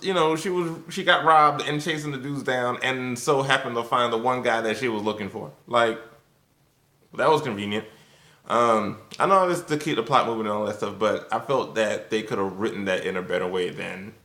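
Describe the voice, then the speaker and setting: A man's voice: mid-range voice, moderate pace, sharp tone. A man talking casually and a bit loosely to viewers as he reviews, in an informal conversational way. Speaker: video reviewer. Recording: roomy, echoing sound.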